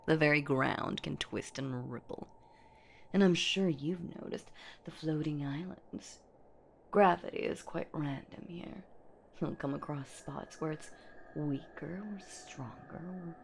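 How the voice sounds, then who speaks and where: A woman's voice: deep voice, creaky, background noise. A woman voicing a menacing, playful centuries-old boogeywoman in a horror audio roleplay, with a theatrical, teasing manner that often slips toward a whisper. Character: menacing, playful centuries-old female boogeywoman monster hunting her prey.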